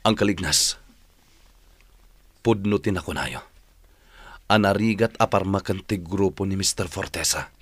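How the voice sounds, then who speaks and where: A man's voice: low-pitched, a little slow, long pauses, slightly rough, dry recording. A man acting in a radio drama as a bashful young fighter devoted to his brother, speaking earnestly and hesitantly. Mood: earnest, concerned.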